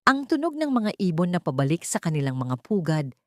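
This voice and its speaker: A Filipino woman narrates a dramatic audiobook in a fairly low voice. Her delivery is solemn and measured, like formal scripted storytelling. The sound is very dry, with no room echo. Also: sing-song, a little mellow.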